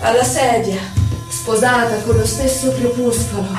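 An actress playing a melancholy poetic voice of exile in a lyrical, theatrical recital over violin. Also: female voice, a little high, fairly even intonation, unhurried, close to an everyday voice. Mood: wistful, melancholic. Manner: lyrical, dramatic, declaimed delivery. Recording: very echoey, distant voice under violin music. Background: violin music.

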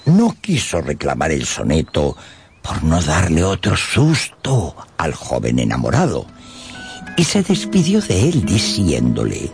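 A man narrating a dramatised audiobook in a theatrical, expressive way, with dramatic pauses and a gravelly edge. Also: rumbling low, soft background music.

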